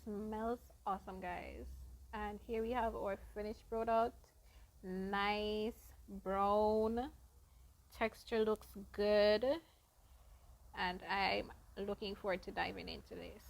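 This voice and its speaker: A woman hosting a casual cooking vlog, explaining each baking step to her viewers in an unhurried way. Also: medium pitch, steady tone, gravelly. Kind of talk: casual baking demonstration narration.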